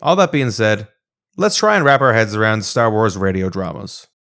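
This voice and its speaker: A man's voice: fairly low pitch, sing-song, normal speed, creaky, a bit bright. Narrating man with music behind. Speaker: video essayist narrator. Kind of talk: scripted narration.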